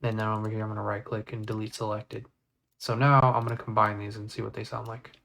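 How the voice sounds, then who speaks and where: A man giving a casual step-by-step software tutorial, informally thinking aloud as he works. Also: fairly low pitch, flat delivery, fast. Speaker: tutorial creator. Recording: clear background noise, mouse clicks.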